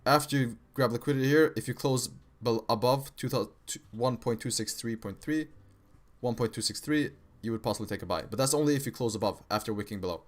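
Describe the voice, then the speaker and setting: A man's voice: medium pitch, a little fast. A young man from Kosovo with an American-sounding accent, casually talking viewers through trading charts on a live stream, slangy, with frequent "bro" and "right?".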